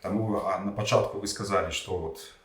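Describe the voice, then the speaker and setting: A man's voice: low-pitched, steady tone, a little fast, roomy sound, far from the mic. A man in a heated political debate, lecturing his opponent with indignation. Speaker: debate candidate.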